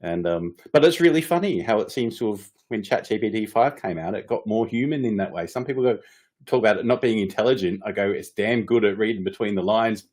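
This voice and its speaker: A man's voice: on the low side, fairly quick, darkish tone. A middle-aged man co-hosting a casual podcast, ranting with frequent swearing and laughter as he vents about frustrations. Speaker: podcast co-host. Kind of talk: casual chat.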